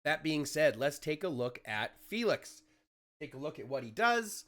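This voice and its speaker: Raspy guy just over being sick, rambly game guide talk, lots of uh and pardon me. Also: on the high side, very animated, moderate pace, a bit bright. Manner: chatty, frequent fillers and self-corrections. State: recovering from illness. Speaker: gaming YouTuber. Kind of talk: casual game guide commentary.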